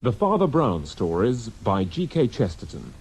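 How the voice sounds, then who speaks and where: A man's voice: lowish voice, very animated, moderate pace, noisy background. A man working as a radio announcer, giving a scripted introduction to a drama.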